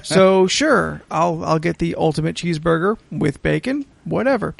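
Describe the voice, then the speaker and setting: Male American podcast co-host, notably high voice with fry, informal banter. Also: normal speed, no room sound. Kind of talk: casual chat.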